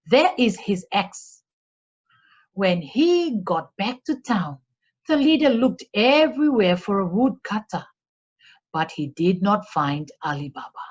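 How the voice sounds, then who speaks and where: A woman reads a children's story aloud at an unhurried pace, with lively rises and falls in her voice. Her tone has a soft husk to it, and the recording is a little dull. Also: medium pitch, slightly rough. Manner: deliberate, expressive read-aloud for children. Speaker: storyteller for a read-along. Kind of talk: scripted storybook narration.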